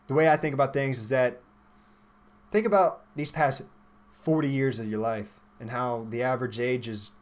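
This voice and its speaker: A man in his twenties hosting a livestream, chatting informally and thinking aloud as he reads and answers viewer questions. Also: mid-range voice. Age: 20s. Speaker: livestream host, software developer.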